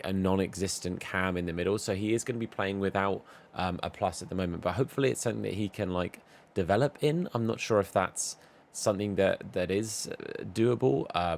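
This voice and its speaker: A man with a hoarse voice, losing it, giving chatty, upbeat commentary over a football video game and talking straight to his viewers. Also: low voice. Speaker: gaming YouTuber. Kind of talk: casual gameplay commentary.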